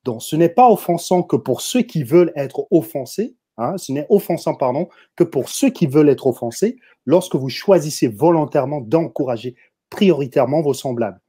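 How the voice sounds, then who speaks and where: A man with a Parisian accent speaks as a guest in a heated discussion. His voice is soft-toned and a little husky, and he hammers his points home as if lecturing the audience directly. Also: on the high side, lively intonation, moderate pace. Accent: Parisian French. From France. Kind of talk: opinionated discussion commentary.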